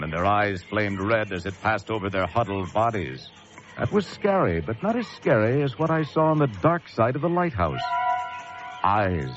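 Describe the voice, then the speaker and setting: A haunted lighthouse keeper played by a man tells of horror in a suspense radio play. His delivery is intense and theatrical, with animated swings in pitch. The recording is muffled, with music clearly behind him. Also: natural voice. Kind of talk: old-time suspense radio drama narration.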